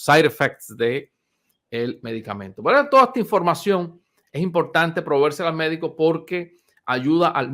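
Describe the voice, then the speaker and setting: A man in his fifties, a doctor, giving an informal health talk to viewers, unhurried and conversational with many pauses and restarts. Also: medium pitch, vocal fry, fairly bright tone. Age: 50s.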